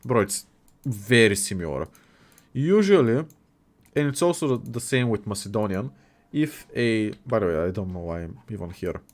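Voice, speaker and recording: A man's voice: on the low side, animated, normal speed. A young man hosting a casual livestream, chatty and jokey as he reacts to viewers' messages. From Bulgaria. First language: Bulgarian. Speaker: livestream host. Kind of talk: casual livestream chat, reading chat aloud.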